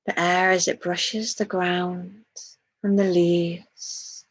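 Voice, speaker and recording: A woman leading a guided meditation in a soft, breathy voice, soothing and hushed, with long pauses between phrases. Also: fairly low pitch, flat delivery, highs cut off.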